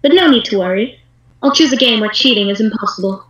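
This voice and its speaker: A woman voices a cool young female rival for an amateur anime dub. Her voice is fairly high, formal and condescending. The recording has a clear roomy echo. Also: expressive, fast, fairly bright tone, lo-fi sound. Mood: cool, challenging. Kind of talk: amateur anime fandub script reading. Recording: roomy echoing home voice-chat stream.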